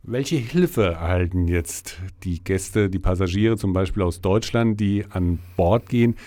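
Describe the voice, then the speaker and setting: Ein Mann moderiert im Studio einen Interview-Podcast und liest die Einleitungen geschliffen vom Skript ab. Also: male voice, sits low, a little slow, mellow. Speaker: podcast host. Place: audio studio.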